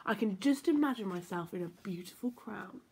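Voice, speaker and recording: A woman's voice: mid-range voice, animated, normal speed, darkish tone, husky, rough recording. A woman voicing a self-assured, enthusiastic toy bear dressed as a king in a storybook. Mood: confident.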